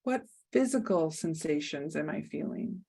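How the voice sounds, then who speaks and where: A woman leading a guided meditation, speaking softly and soothingly with long pauses. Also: low-pitched, animated, gravelly, breathy edge.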